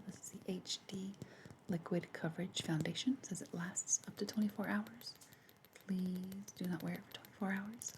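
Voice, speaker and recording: A woman whispering softly and unhurriedly in an ASMR roleplay, gently instructing the listener as a makeup artist. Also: lowish voice. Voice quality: breathy whisper.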